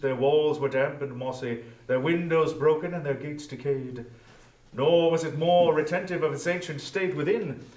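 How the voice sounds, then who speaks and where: A man narrating a classic story as a dramatic, expressive scripted reading. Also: on the high side. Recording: echoey room, distant from microphone.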